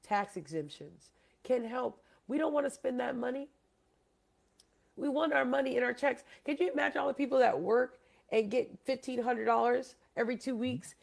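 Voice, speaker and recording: Woman host from Hawaii, gravelly voice, rambling emphatic talker, keeps quizzing her guests. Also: on the high side, moderate pace. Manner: rambling, emphatic, asks listeners questions. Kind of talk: casual debate chat.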